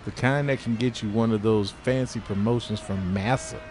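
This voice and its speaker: A man's voice: on the low side, steady tone, normal speed, a little mellow, a little hiss or hum, lightly acted. A man playing a cornered fugitive informant in an audio drama, speaking in a bitter, curt, streetwise and profane way. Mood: curt, guarded. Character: defiant, cornered male fugitive turned reluctant informant. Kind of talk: scripted audio drama dialogue.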